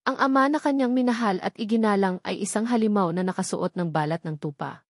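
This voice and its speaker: A woman's voice: medium pitch, a little fast, no echo, studio quality. A woman narrating a scripted dramatic story.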